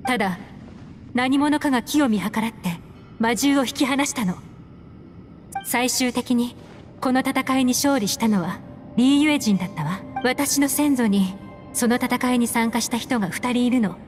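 A woman voices a composed, secretive female investigator in an anime game. Her voice sits a little high and her phrasing is measured and poised. Soft music plays underneath. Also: natural voice. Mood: grave, confiding. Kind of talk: Japanese anime game dialogue.

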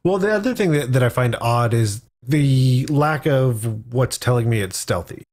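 A man's voice: mid-range voice, expressive, moderate pace, darkish tone. Opinionated man with dry sarcasm. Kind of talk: casual tabletop game discussion.

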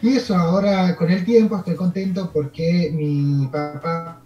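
A Canadian man, French his first language, speaking with an Argentine accent as an immigration consultant in a video call interview, telling stories in a relaxed, rambling way with fillers. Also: a little high, unhurried, reverberant, muffled recording, soft background music.

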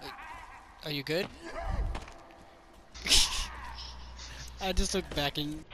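Excitable young guy, higher voice, gaming. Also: extremely slow, very noisy. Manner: excitable, joking, slangy. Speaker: gamer, video host. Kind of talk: casual chat while playing a co-op survival game.

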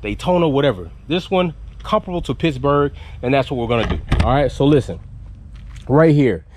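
A man's voice: mid-range voice, expressive, brisk, a little mellow. A man in his thirties hosting a casual product review vlog outdoors in a driveway, talking bluntly and animatedly and swearing casually while holding the camera at arm's length. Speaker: car channel host. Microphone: handheld camera held at arm's length.

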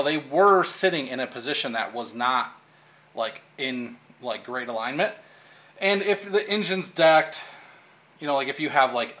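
A man's voice: mid-range voice, pushed and bright. A man in his thirties casually explaining engine timing while working in a workshop, with lots of fillers. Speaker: engine shop technician. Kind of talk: hands-on how-to demonstration. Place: engine workshop with pegboard wall, indoors.

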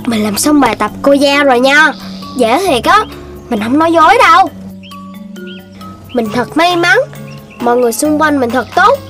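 A woman voicing a playful, naive little puppy boy in a children's cartoon, childlike and expressive. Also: high voice, normal speed, pauses often. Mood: cheerful. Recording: music playing behind the voice.

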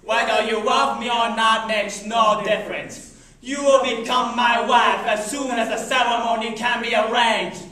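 A man voicing a pompous, merciless alien emperor in a radio serial, with drawn-out, menacing delivery. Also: extremely high pitch, fairly even intonation, bright, forceful tone, heavily acted. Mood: cold menace. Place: classroom, indoors. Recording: echoey classroom, distant noisy camera.

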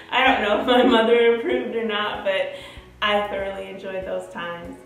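A woman's voice: mid-range voice, little pitch movement, a little slow, gravelly. Woman in her 30s rambling casually to camera, super echoey room, music behind her. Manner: chatty, rambling. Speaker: vlogger. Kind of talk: casual personal storytelling to camera. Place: bare-walled room indoors. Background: background music.

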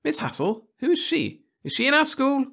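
A man voicing an eager, bossy nine-year-old girl tattletale in a storybook reading, excitable and shrill. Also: normal speed, vocal fry, exaggerated voice. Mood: nosy, demanding. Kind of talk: storybook audiobook character voice.

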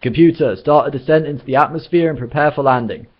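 A man voicing a cocky, excitable space adventurer in a storybook, loud, emphatic and dismissive. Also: medium pitch, very fast, slightly rough, highs cut off, pitched above the performer's own voice, lightly acted. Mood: impatient bravado.

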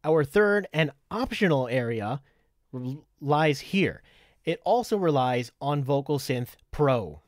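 A man giving a methodical, step-by-step software tutorial in an instructional way. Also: a little high, animated, takes it slowly, dry recording, studio quality. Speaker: tutorial presenter.

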